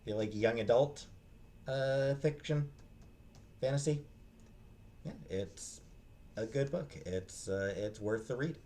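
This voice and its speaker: A man giving a casual, unscripted book review in a halting, hesitant way, often trailing off and restarting. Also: mid-range voice, warm tone, slight background noise. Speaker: book reviewer, vlog host.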